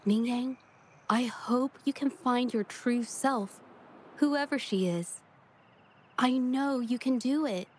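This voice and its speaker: A woman playing an earnest, shy young woman painter in a video game, speaking in a gentle, hesitant way. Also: on the high side, slow pace, slight background noise. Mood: gentle, encouraging. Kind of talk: video game story dialogue acting.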